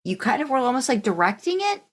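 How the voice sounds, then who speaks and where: A woman's voice: on the high side, big pitch swings, fast talker. A woman hosting a casual group discussion, talking in a rambling, encouraging way. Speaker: podcast host.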